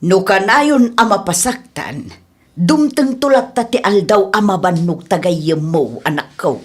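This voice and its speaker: A woman playing a gossipy, sympathetic middle-aged mother in an amateur audio drama, expressive, with an Ilocano accent. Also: medium pitch, husky. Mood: concerned. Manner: unhurried, expressive.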